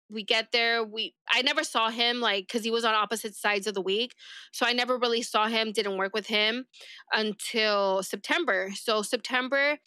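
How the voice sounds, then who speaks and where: Bright, clear woman, native Spanish speaker. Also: a little high, no room sound. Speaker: police deputy, interview guest. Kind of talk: casual podcast storytelling.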